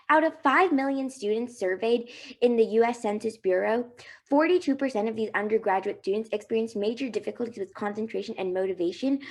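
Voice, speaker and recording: A young woman from Delaware presenting a student research proposal, reading earnestly from a script with small slips. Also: fairly high pitch.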